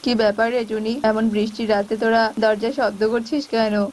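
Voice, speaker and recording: Casting: woman as selfish, bullying male bird neighbour for cartoon fable, scolding and wheedling, highish pitch. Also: fairly even intonation, moderate pace, darkish tone, close to an everyday voice. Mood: gruff refusal. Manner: scolding, wheedling when in need. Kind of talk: animated folk tale voice acting.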